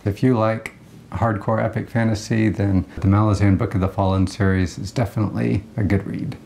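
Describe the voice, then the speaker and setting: A man co-hosting a casual book review, speaking in a measured, matter-of-fact way. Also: fairly low pitch, little pitch movement, vocal fry, a little mellow, slight background noise. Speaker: co-host of a book review show.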